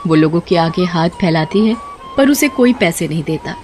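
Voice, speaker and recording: A woman's voice: fairly low pitch, animated, normal speed, a little mellow, faint music behind. A woman narrating a scripted tale as a storyteller.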